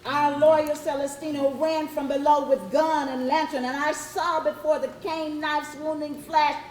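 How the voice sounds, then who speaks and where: A woman playing an aggrieved, haughty Spanish slaver giving formal, measured testimony in a readers theatre monologue. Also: high voice, steady tone, darkish tone. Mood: bitter, shaken. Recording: echoey room with faint noise.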